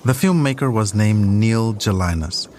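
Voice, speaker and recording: A man's voice: on the low side, expressive, unhurried, darkish tone, a little hiss or hum. A man hosting a podcast, reading scripted documentary narration as a storyteller. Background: music.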